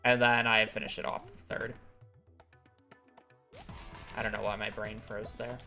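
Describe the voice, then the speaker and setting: A man's voice: fairly low pitch, flat delivery, a little slow, bright, forceful tone, soft background music. A man talking casually over a live gaming stream, explaining at length with many fillers, his voice congested from a recent illness. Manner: rambling, filler-heavy explanations.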